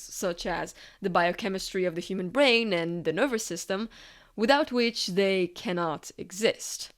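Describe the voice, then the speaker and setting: A woman narrating a scripted video essay in a conversational, explanatory way with casual asides. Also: mid-range voice.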